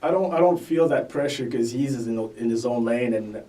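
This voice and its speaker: A young man talks easily about his music, loose and unhurried, with plenty of fillers. His voice is mellow and soft-edged, and it sits a little back from the mic. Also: mid-range voice, distant mic, low-quality recording. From Canada. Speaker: guest rapper. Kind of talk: casual interview chat.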